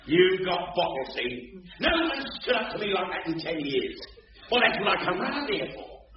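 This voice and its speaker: An actor playing a swaggering gangster boss in a radio sitcom, theatrical and mock-courteous. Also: male voice, high voice, sing-song, normal speed, a little hiss or hum. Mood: apologetic, admiring. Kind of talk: radio sitcom acting before an audience. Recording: distant, echoey, muffled recording with audience.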